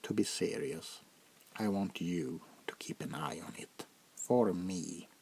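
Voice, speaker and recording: Guy doing an old french vampire prince for asmr, real slow n gravelly, whispery, snooty and menacing. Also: sits low, darkish tone, slight background noise, heavily acted. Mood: condescending, menacing. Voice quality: gravelly, breathy half-whisper. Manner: slow, deliberate, condescending, drawn-out pauses. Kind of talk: soft-spoken ASMR roleplay, half-whispered.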